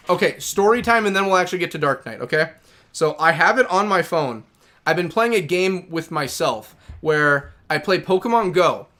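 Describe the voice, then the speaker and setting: Slightly high-voiced man streaming a game. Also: a bit bright. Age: 30s. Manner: thinks aloud, rambling and chatty. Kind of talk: casual livestream chat.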